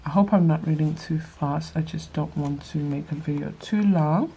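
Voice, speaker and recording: A young woman with a nasal, allergy-stuffed voice, reading scripture aloud and adding quick casual asides in a Bible study vlog. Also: sits low, creaky, dark tone, slight background noise, low-quality recording. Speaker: vlogger leading a Bible study.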